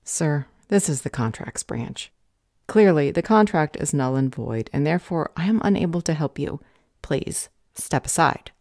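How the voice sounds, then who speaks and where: Lady playing a unhelpful gov office clerk in an audio roleplay, dark relaxed voice, officious and talks down to you. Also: sits low, normal speed, no echo, studio quality. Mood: flat, firm. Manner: bureaucratic, unhelpful, condescending. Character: jaded, officious female government customer service clerk. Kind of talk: audio roleplay drama acting.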